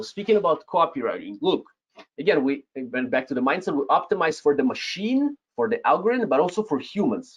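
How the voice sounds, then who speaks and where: A man in his thirties whose first language is Russian, teaching a live webinar with a Russian accent. He talks straight to the chat, tags his points with "yes?" and chuckles now and then. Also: a little high. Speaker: webinar host, Amazon seller coach. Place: home room, indoors. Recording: slightly dull lo-fi webcam audio.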